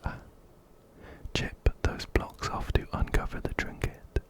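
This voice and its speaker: Male ASMR host, breathy whisper, slow deliberate delivery, close-up ear-to-ear mic, game playthrough. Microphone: close-up binaural microphone, ear to ear.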